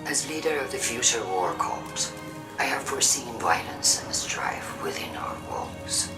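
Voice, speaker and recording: An actor playing a grave, suspicious and proud war-faction leader in a video game, delivering measured, ominous oratory. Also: male voice, mid-range voice, sing-song, whispered, music in the background. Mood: ominous warning. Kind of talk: dramatic video game monologue.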